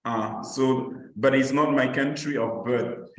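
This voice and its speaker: A man's voice: medium pitch, slow pace, a bit bright, echoey room, rough recording. A Cameroonian man mentoring teachers on a video call, walking through an application form with repeated examples and many hesitations. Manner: explanatory, repeats points with examples, frequent uh fillers. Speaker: mentor presenting application guidance.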